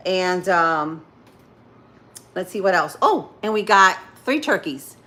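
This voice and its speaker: A woman's voice: fairly low pitch, lively intonation, slow, sharp tone. A woman in her thirties with a Spanish-influenced accent, hosting a casual morning livestream and chatting with viewers while she cooks. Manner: chatty, rambling, answers viewer comments as she goes. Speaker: livestream host.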